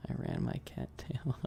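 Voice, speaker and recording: A man chatting casually on an ASMR game stream in a soft voice that often slips toward a whisper, laid-back and sweary with slangy, hesitant enthusiasm. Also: medium pitch, creaky. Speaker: streamer hosting a live stream. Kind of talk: casual rambling ASMR stream chat about games.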